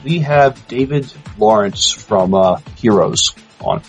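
A man's voice: on the low side, animated, slow. A man chatting casually as a podcast co-host. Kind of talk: casual chat. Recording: slightly dull, faint music behind.

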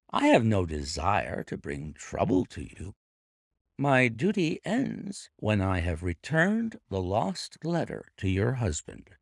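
A brisk, authoritative gentleman detective, voiced by a man. He speaks crisply and deliberately, with a lively pitch and a gravelly texture. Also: no room sound, clean studio sound, heavily acted. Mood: stern.

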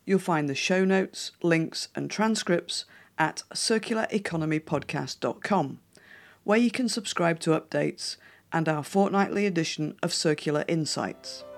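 A woman hosting a podcast, reading a scripted intro with an upbeat, measured delivery, then opening an interview. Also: sits low, lively intonation. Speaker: podcast host.

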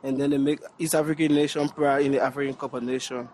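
A man's voice: mid-range voice, little pitch movement, fast, darkish tone. Man from liberia, interview, repeats words, faint background noise. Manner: stammers and repeats. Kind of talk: street interview.

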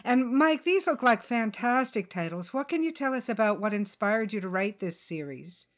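A woman hosting a podcast, reading a scripted literary introduction and interviewing in a measured, formal, lyrical way. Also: fairly high pitch, animated. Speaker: podcast host. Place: sunroom home studio.

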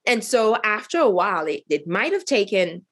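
A woman's voice: mid-range voice, big pitch swings, normal speed, slightly rough. A middle-aged woman from Haiti, a nonprofit cofounder, telling her story in an interview in an earnest, reflective way with many fillers and restarts.

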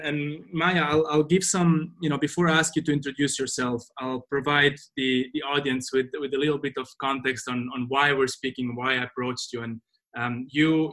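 A man hosting a podcast, introducing and questioning his guest with many repeated words and restarts. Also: medium pitch. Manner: stumbling repetitions, warm thanks. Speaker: podcast host. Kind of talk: casual interview hosting.

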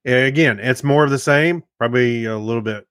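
A middle-aged man speaks as a podcast co-host in a medium-pitched voice, recorded dry and close. He keeps to an easy, agreeable conversational style suited to casual talk about entertainment. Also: fairly quick, a bit bright, dry recording. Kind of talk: casual chat about films and TV shows.

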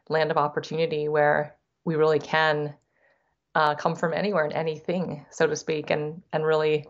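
Low-voiced woman guest, reflective. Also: highs cut off. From United States. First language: Thai. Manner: reflective, many restarts and repeated words. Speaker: guest, investor. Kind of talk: podcast interview.

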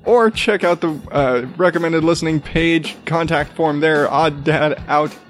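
A man with a rather deep voice hosting a solo podcast, rambling casually, restarting sentences and swearing offhand. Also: unhurried, faint music behind. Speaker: podcast host.

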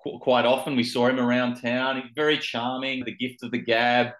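A man's voice: mid-range voice, little pitch movement, normal speed, fairly bright tone, reverberant. A man with an Australian accent, an author answering interview questions thoughtfully, often restarting his phrases. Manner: thoughtful, with frequent restarts and repeated words. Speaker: author guest.

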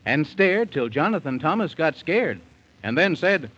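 A man's voice: a little high, very animated, measured pace, a bit bright, slightly rough. A man narrating a 1930s radio bedtime story in theatrical, folksy, sing-song rhyme. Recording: dull 1930s radio recording.

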